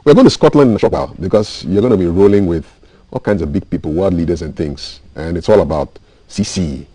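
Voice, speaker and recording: This is a Ghanaian man hosting a television show in lively, chatty fashion. His pitch moves around a great deal, while the tone stays mellow and relaxed. The sound is dry and close. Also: fast talker, husky, no room sound, poor audio. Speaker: TV show host. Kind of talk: lively interview chat, reading out viewer messages.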